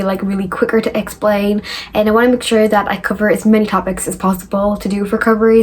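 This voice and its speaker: A woman's voice: mid-range voice, slight background noise. A young woman from northeast England, chatting casually as she answers viewer questions in a rambling vlog. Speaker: recovery vlogger.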